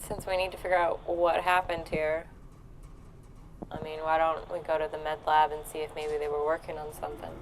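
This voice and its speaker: A woman's voice: sits low, little pitch movement, brisk, a little hiss or hum, poor audio. A woman chatting casually as a player in a tabletop role-playing game.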